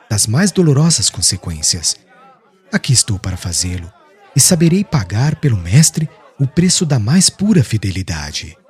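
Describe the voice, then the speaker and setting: A man's voice: mid-range voice, a little mellow, breathy edge, pitched below the performer's own voice. A man playing a serene, devout accused man who speaks humbly, solemnly and firmly in an audiobook. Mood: soft, resolute. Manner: solemn, murmuring, preaching. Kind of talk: dramatised audiobook acting.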